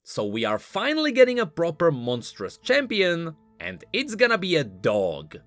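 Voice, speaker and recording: Higher-pitched, animated male gaming commentator. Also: normal speed, dry recording, soft background music. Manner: emphatic, explanatory. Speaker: video game lore YouTuber. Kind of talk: scripted commentary on game voice lines.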